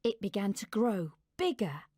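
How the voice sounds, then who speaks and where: A woman narrating a scripted children's story in an expressive storyteller's way, acting out the dialogue. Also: mid-range voice, normal speed, breathy edge, no room sound, clean studio sound.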